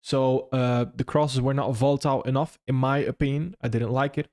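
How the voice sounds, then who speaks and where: A man's voice: mid-range voice, steady tone, normal speed, darkish tone. Man hosting a loose live-stream Q&A. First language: not English. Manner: loose and conversational, frequent restarts and "if that makes sense". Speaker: trading stream host. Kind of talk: live stream Q&A, reading chat questions.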